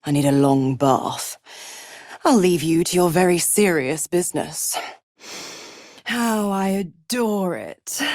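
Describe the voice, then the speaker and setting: Female devil, video game villainess, seductive, cruel, taunting, unhurried, very animated pitch, close dry studio sound. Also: no room sound, full character voice. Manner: taunting, condescending, savoring each word. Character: smug, seductive, menacing female devil, cruel mistress of pacts. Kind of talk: theatrical game voice acting.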